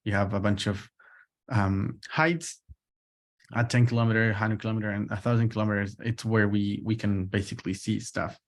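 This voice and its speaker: A man from Chile with a Chilean accent and native Spanish, giving an informal academic lecture in a hesitant, halting way, often repeating words. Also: on the low side, fairly even intonation. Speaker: postdoctoral researcher giving a lecture.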